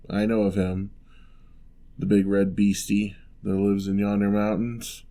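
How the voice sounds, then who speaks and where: A man playing a huge, gentle, courteous giant in an ASMR roleplay, speaking softly with wry, teasing, old-fashioned politeness. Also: lowish voice, close to an everyday voice. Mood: warm, teasing. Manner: unhurried, soft-spoken, old-fashioned courteous phrasing.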